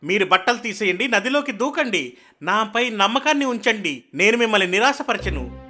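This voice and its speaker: High, bright-voiced clever cat played by a man. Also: very animated, moderate pace, soft background music, heavily acted. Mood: persuasive, confident. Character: clever, flattering talking cat in boots, servant. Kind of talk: children's fairy tale character acting.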